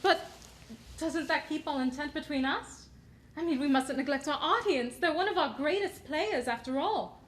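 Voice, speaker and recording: An actress playing a poised young actress, tactful and polite as she gently corrects her scene partner. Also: sits high, normal speed. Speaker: actress in a dramatized rehearsal. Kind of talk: period drama acting.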